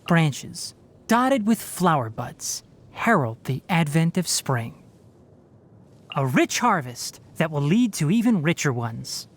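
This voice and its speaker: A woman's voice: low-pitched, big pitch swings, slow, a little hiss or hum, exaggerated voice. A woman voicing a fox-eared young male forest ranger in a video game, articulate and matter-of-fact, dryly fussy yet caring. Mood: calm, matter-of-fact. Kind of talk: video game character voicelines.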